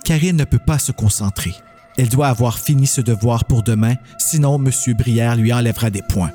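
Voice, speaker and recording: Male narrator for a horror audio story, mellow tone, average pitch, faint music underneath. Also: normal speed.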